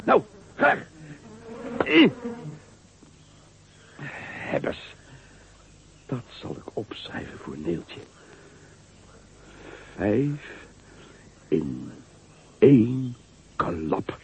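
An actor playing a young farmhand who grumbles and talks to himself in a radio play. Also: male voice, mid-range voice, wildly swooping pitch, extremely slow, gravelly, long pauses, exaggerated voice. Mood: grumbling. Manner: grumbling, self-talking. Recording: dull lo-fi with faint noise.

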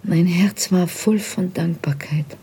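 A tender wife resigned to her fate in a literary radio play. Her voice is low and mellow, with heavy breath in it. She speaks solemnly, as if to one beloved person. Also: slow, full character voice. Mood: wistful. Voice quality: breathy, hushed. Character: tender wife facing arrest, resigned, loving, weary. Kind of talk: literary radio drama acting.

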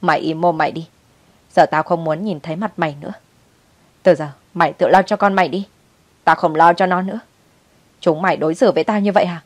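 An embittered old grandmother, acted out by a woman storyteller, scolds and wails in outrage. Her lines come out as loud, harsh complaints in a dramatic audio story. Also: deep voice, fairly even intonation, fast, no echo. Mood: furious. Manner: scolding, shouting, wailing. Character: angry old grandmother, bitter, wailing over stolen money.

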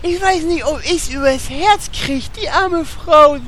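A woman playing a soft-hearted, hesitant gamer warrior in a parody fantasy radio play. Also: sits high, measured pace, heavy background noise. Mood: reluctant.

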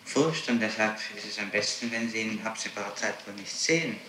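A man's voice: lowish voice, brisk, pushed and bright, a little hiss or hum. A male public prosecutor asking curt, probing questions in an interrogation. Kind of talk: police interrogation. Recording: old tape in echoey room.

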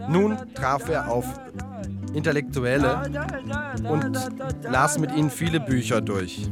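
A man narrating a radio play in a mock-official, declamatory scripted style. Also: medium pitch. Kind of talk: scripted narration over music. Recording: loud music mixed over the voice.

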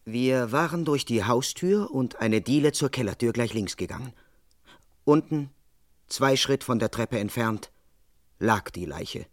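An actor playing a dutiful London police constable in a crime radio play, speaking in a measured, formal, precise and officious way. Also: male voice, mid-range voice, no echo, studio quality, lightly acted. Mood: measured, suspenseful. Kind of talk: German crime radio play, first-person narration and dialogue.

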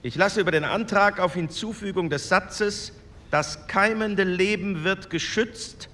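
A brisk male committee chairman with a bright, somewhat high voice. He calls votes in formal procedural phrasing, heard in a long hall reverb. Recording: reverberant hall with faint room noise.

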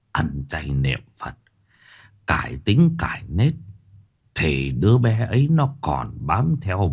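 A stern, weary old fortune teller, his voice very deep and heavy with sighs, as a male narrator puts him on. He draws out every warning slowly, like a spoken horror tale. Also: gravelly, a little mellow, husky, exaggerated voice. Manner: slow, heavy, sighing delivery.

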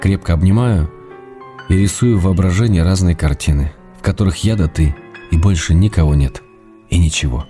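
A man's voice: sits low, moderate pace, warm tone, faint music behind, close to an everyday voice. An actor playing an affectionate, slightly weary husband in a radio play, reading out his letters in an intimate, restrained, tender way. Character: affectionate husband and writer, gentle, weary, fond. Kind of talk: quiet dramatic reading of letters.

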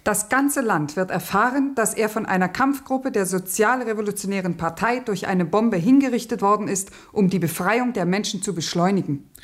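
A woman playing an earnest, committed revolutionary in a radio play, speaking in a measured, serious way. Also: mid-range voice, roomy sound, close to an everyday voice. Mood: resolute.

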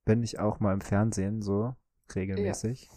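A man co-hosting a podcast, chatting casually through a guessing game and often pausing on drawn-out fillers. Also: fairly low pitch, flat delivery, warm tone, no room sound. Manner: hesitant, many drawn-out fillers. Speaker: podcast co-host.

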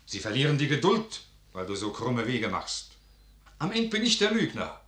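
A distrustful, impatient nobleman in a vintage German radio play. His mid-pitched voice is bright and forceful in a roomy acoustic. He delivers verse with pointed reproach. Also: huge pitch swings, normal speed. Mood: reproachful. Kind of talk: 1950s German radio drama acting, classical verse. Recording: roomy 1955 radio studio recording.

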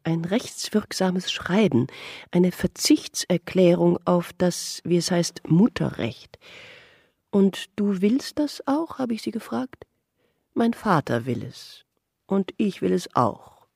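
A frail, dreamy mother looking back. Her husky voice moves widely in pitch, close and dry over faint music, like intimate, brooding storytelling in a radio play. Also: a little mellow, no room sound, heavily acted. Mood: hurt, subdued. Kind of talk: German radio play monologue.

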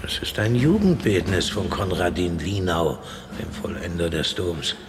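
Deep, stern guard, cavernous reverb. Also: male voice, lively intonation, measured pace. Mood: solemn, matter-of-fact. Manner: terse, solemn, matter-of-fact. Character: stern, terse male cathedral guard with a lantern. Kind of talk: German radio drama acting. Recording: long cavernous reverb with faint noise.

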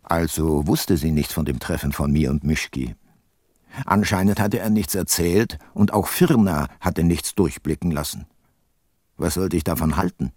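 An actor playing a reflective, weary private detective in a radio drama, measured and laconic. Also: male voice, deep voice, darkish tone, breathy edge, dry recording, studio quality. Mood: reflective, wry. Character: weary, reflective male private detective narrating his case. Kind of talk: scripted narration in a radio drama.

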